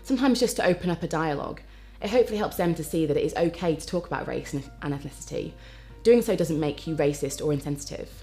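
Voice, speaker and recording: A woman's voice: sits low, lively intonation, fast talker, hardly any pauses, dark tone, soft background music. A woman from England speaking directly and assuredly in a corporate testimonial.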